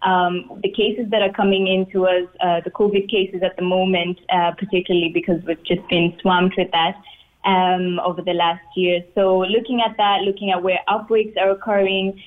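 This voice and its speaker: Woman, swahili speaker, measured with fillers, tinny phone line. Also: fairly low pitch, flat delivery. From Tanzania. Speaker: radio guest, public health worker. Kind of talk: radio interview.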